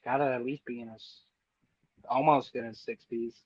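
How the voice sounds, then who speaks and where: A man's voice: medium pitch, fairly even intonation, normal speed, highs cut off. A man co-hosting a podcast, talking in a blunt, crude, sardonic way. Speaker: podcast co-host. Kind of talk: casual profane podcast banter.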